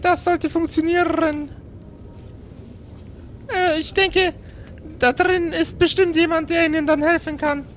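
A courteous young woman at a fantasy library desk, played by a man. The voice is high and slow, hesitant and easily flustered, over some background noise. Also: little pitch movement, a bit bright. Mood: flustered, helpful. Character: polite young red-skinned female library receptionist.

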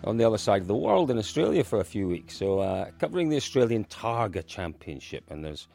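A man's voice: fairly low pitch, big pitch swings, a little fast, creaky, warm tone, soft background music. A Scottish man in his thirties hosting a motorsport podcast in a casual, chatty way. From Dundee, Scotland. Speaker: podcast host.

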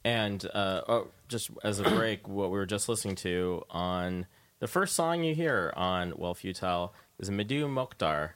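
A man's voice: low voice, animated, moderate pace, a bit bright. A man hosting a casual interview show on the radio, chatting informally from a studio.

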